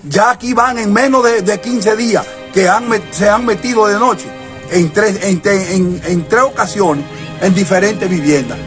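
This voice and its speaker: A mayor speaks firmly in a news interview and presses his demands. His voice is high for a man. Faint music runs underneath. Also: unhurried. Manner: firm, insistent demands. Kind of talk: interview statement.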